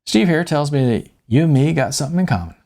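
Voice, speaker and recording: A man acting out a folksy, gravelly, hearty stout guitarist. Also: on the high side, lively intonation, fast, warm tone, pitched above the performer's own voice. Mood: easygoing, chummy. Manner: folksy, colloquial. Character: hearty, stout, folksy middle-aged guitarist, chummy and conspiratorial.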